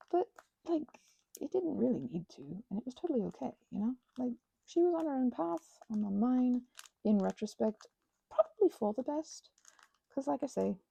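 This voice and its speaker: A woman with a breathy, soft-edged voice rambling intimately and casually, halting and interrupting herself as she reflects. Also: fairly high pitch, no echo. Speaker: craft vlogger and ASMR creator. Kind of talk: rambling, intimate casual chat while crafting.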